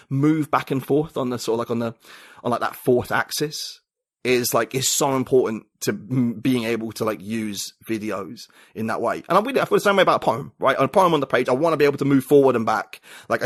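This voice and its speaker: Man, podcast guest, rambling, thoughtful, thinks aloud, restarts a lot. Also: mid-range voice. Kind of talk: casual conversation.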